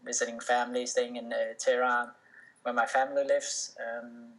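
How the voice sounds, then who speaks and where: A man's voice: medium pitch, little pitch movement, normal speed, a bit bright, rough recording. A young man, a native Danish speaker, answering interview questions casually, with many fillers and restarts. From Denmark. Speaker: professional footballer, interview guest.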